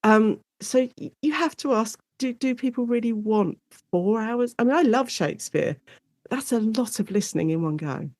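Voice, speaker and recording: A woman speaking as an academic guest in an informal interview, explaining things in an animated way and acting out short quotes. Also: fairly high pitch, moderate pace, dry recording. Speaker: guest academic, Shakespeare and radio drama specialist.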